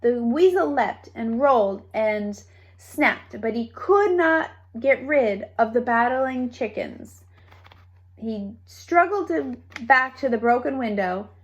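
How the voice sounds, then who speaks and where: A woman reads a picture book aloud to children. Her voice sits a little high and she takes her time, telling it expressively and adding playful asides for her young listeners. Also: expressive, takes it slowly. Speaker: storybook reader. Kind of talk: children's read-aloud storytelling.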